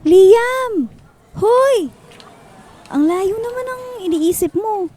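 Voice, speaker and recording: A woman playing a playful, teasing young student best friend in a radio drama, with a Filipino accent and an expressive, chiding delivery. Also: very high-pitched, very slow, faint music behind, heavily acted. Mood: teasing, curious.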